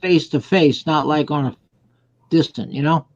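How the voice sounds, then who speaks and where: Older male, fairly high voice, hesitant conversational delivery, muffled online-call sound. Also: normal speed. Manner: hesitant, repeats himself. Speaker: chat participant. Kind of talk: casual group chat. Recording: dull online call audio.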